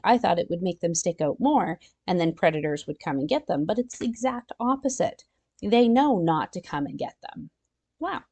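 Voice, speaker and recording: Expressive woman reading aloud to kids. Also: mid-range voice. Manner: expressive, exclaiming, talks directly to young listeners. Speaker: children's storytime reader. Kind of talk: read-aloud of a children's nonfiction picture book with chatty asides.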